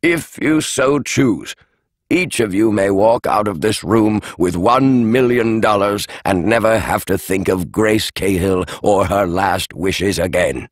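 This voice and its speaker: Formal elderly lawyer, read by a man, deep voice, precise delivery. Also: slow, no echo. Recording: dry studio audiobook.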